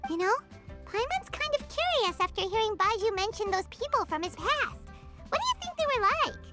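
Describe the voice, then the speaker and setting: A woman voicing a small childlike fairy companion in a video game, squeaky, perky and inquisitive. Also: sing-song, moderate pace, bright, forceful tone, heavily acted. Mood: curious. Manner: refers to self in third person, perky, inquisitive. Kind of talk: cartoonish video game voice acting. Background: music.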